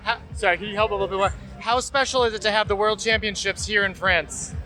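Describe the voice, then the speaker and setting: A man interviewing athletes outdoors at a race event, asking quick, upbeat questions. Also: sits high, fairly even intonation, music in the background, rough recording. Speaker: podcast host interviewer.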